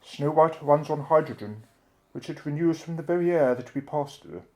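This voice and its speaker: A man playing a daring, eccentric middle-aged British inventor in an audio drama, explaining things in a declamatory, clipped way, with a creaky voice. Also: on the high side, normal speed, close to an everyday voice, poor audio. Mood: grave. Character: eccentric, daring British male inventor, secretive and self-assured.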